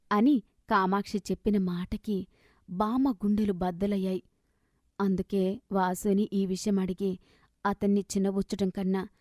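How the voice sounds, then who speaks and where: A woman narrating a scripted moral story as an expressive, dramatic storyteller. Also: medium pitch, no room sound, studio quality.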